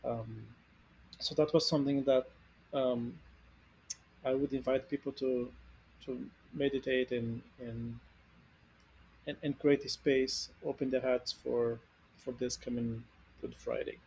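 Hesitant man, slow and monotone with vocal fry, faint ambient noise. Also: mid-range voice, poor audio. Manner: soft-spoken, hesitant, repeats words. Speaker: discussion panelist.

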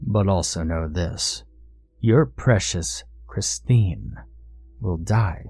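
A man voicing a small, genteel Southern gentleman with a florid, drawn-out way of speaking and an American Southern accent. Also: deep voice, gravelly, no echo, faint music behind, exaggerated voice. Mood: menacing. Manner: florid, drawn-out, ever so gracious. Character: small pot-bellied Southern dandy man, genteel, menacing.

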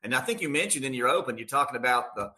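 An American man with a bright, forward voice speaks as a sports announcer in a conversational interview. His pitch sits in the middle range, and he talks in folksy, emphatic phrases. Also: animated, racing. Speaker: radio play-by-play sports announcer.

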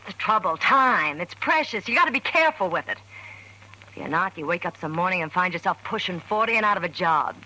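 A woman's voice: lowish voice, animated, fairly quick, pushed and bright. An actress playing a tough, slangy, blunt carnival showgirl near forty in an old-time radio drama. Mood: impatient, defiant. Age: middle-aged. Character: brassy hard-bitten carnival showgirl pushing forty. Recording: noisy vintage radio recording.

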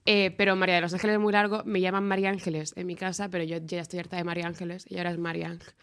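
A woman co-hosting a podcast, making playful jokes and quick asides in casual chat. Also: fairly low pitch, level tone, clean tone. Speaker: podcast co-host.

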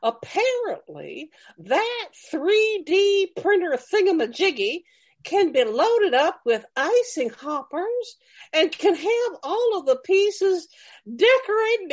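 A folksy lady host of an old-fashioned radio cooking show. Her voice sits high and swoops up and down in fussy, cheerful exclamations. She takes her time over every word. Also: very animated, exaggerated voice. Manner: exaggerated folksy exclaiming, drawn-out delivery.

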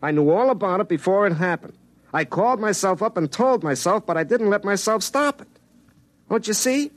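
A man's voice: high-pitched, animated, fairly quick, no room sound. An actor playing an earnest, hapless young telephone lineman, telling his own story in a folksy, self-dramatizing way in a comic radio drama. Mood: gloomy, agitated. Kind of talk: first-person comic radio drama narration and dialogue. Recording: classic radio show with music underneath.

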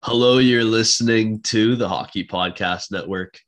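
A man's voice: fairly low pitch, normal speed, soft background music. A man hosting a podcast in casual chat, his voice congested from being sick, loose and profane. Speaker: podcast host.

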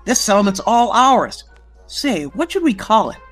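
A man's voice: high-pitched, big pitch swings, measured pace, fairly bright tone, faint music behind, heavily acted. An actor playing a chatty, picky raider henchman in a comic radio skit. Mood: cocky, critical.

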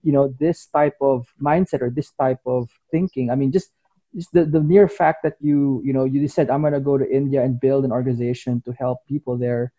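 A man's voice: medium pitch, steady tone, brisk, dark tone. Rambling man with a muffled lo-fi voice. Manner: rambling, lots of "you know". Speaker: podcast co-host. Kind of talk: podcast interview questions. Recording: muffled lo-fi audio.

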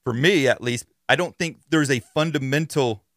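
A man's voice: mid-range voice, normal speed, fairly bright tone, no room sound. A man hosting a podcast, explaining things in an informal, conversational monologue on a close mic. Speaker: podcast host.

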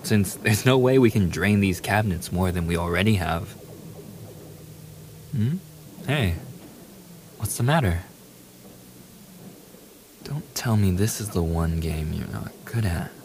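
A man's voice: low-pitched, a little slow, long pauses. A man playing a famous young pop idol boyfriend in an ASMR audio roleplay, playful, affectionate and eager, teasing the listener in a casual, intimate way. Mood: gentle, teasing. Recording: steady hiss behind the voice.

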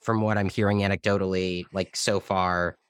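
A man's voice: sits low, level tone, normal speed, clear, resonant tone, dry recording, clean studio sound. A man co-hosting a talk podcast, speaking with a mildly scratchy throat in a sardonic, casual way. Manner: sardonic, repeats words when starting. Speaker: podcast co-host, reporter.

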